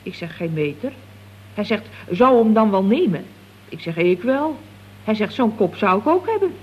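A woman's voice: medium pitch, sing-song, normal speed, a little hiss or hum, close to an everyday voice. A woman playing a chatty, scatterbrained office worker in 1970s radio comedy, rambling along with giggly, naive earnestness. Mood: rambling, amused. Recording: dull, lo-fi old radio recording.

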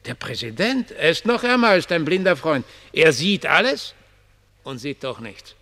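An actor in a German radio play playing a rambling, irreverent homeless dreamer with a theatrical, drawn-out storytelling manner. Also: male voice, a little high, big pitch swings, pushed and bright. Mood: pitying. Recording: long reverberant hall sound.

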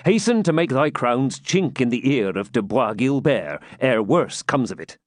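A man's voice: mid-range voice, lively intonation, normal speed, no room sound, clean studio sound, lightly acted. A man voicing a bold, plain-spoken English outlaw captain in a storybook, grave and commanding in archaic speech. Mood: brisk command.

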